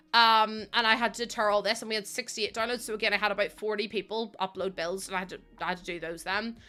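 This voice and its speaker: A young woman with a Northern Irish accent talks through her live stream in a loose, rambling way, full of ums and self-mocking asides. Her voice sits a little high and sounds bright and forward. Soft music plays faintly behind her. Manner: chatty, self-mocking, rambling with many fillers. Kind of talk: casual live-stream chat.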